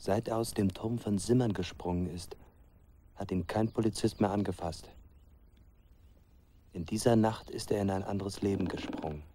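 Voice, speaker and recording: An actor playing a plain-spoken working villager recalling a fugitive in a radio drama, with reflective delivery. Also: male voice, lowish voice, fairly even intonation, normal speed, pauses often, dark tone, slight background noise, natural voice. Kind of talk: narration in a German radio drama.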